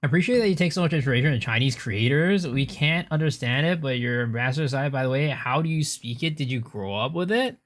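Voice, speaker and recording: Lively man chatting on stream. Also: mid-range voice, quick pace, few pauses. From United States. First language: Cantonese. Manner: rambling, reads chat aloud, swears casually. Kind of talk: casual live-stream chat while gaming.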